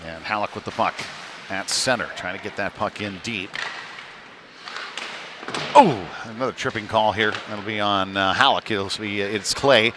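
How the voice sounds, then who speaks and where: A man giving halting, conversational live play-by-play of a youth hockey game in a folksy manner. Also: fairly low pitch. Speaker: play-by-play commentator. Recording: noisy live rink stream.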